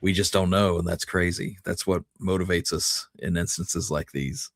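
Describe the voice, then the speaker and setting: A man's voice: deep voice, steady tone, moderate pace. A man co-hosting a history podcast, talking casually and thinking things through aloud. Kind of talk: casual conversational discussion.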